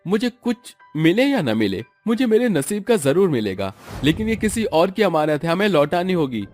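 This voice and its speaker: Man acting earnest high-pitched devout husband. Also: big pitch swings, moderate pace, darkish tone, faint music behind. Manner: earnest, pleading. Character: honest, devout, humble poor husband.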